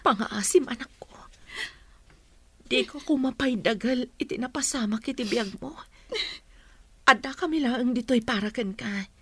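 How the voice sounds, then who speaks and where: High-voiced, sorrowful mother. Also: unhurried, long pauses, husky. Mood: sorrowful, pleading. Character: sorrowful, consoling mother pleading with her child. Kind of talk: Ilocano radio drama acting.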